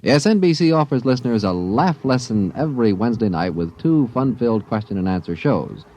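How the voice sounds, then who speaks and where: A man's voice: mid-range voice, expressive, brisk, dark tone. A man with an American accent reading a scripted 1950s radio promo. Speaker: radio announcer. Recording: dull old radio recording.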